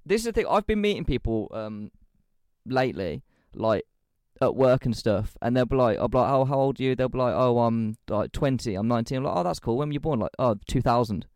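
An English man in his twenties co-hosting a podcast, chatting casually with quick, animated asides. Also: mid-range voice, dry recording, studio quality. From England. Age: 20s. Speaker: podcast co-host. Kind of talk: casual chat.

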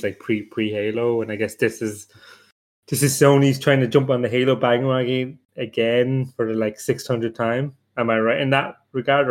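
A man with a mid-range voice talks casually on a panel. He feels his way through sentences in a joking, rambling fashion. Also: mid-range voice. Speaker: podcast panelist. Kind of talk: casual chat.

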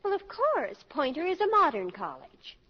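High-pitched, breezy college girl. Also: big pitch swings, normal speed. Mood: impatient, dreamy. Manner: slangy, flippant, gushing. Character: breezy, spoiled college-age daughter, girlish and flippant. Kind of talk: 1940s radio comedy acting. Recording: dull old 1940s radio broadcast.

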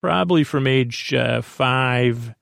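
A man with a creaky voice giving a lulling, meandering, halting bedtime podcast monologue as host, in a self-deprecating way. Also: mid-range voice, fairly bright tone, no room sound, studio quality. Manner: lulling, soothing.

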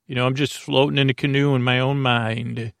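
Soft-spoken rambling man with gravelly tone. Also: mid-range voice, flat delivery, a little fast, no echo, studio quality. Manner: meandering, hesitant, full of fillers and restarts; soft, lulling delivery. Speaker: podcast host. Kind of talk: rambling bedtime podcast talk.

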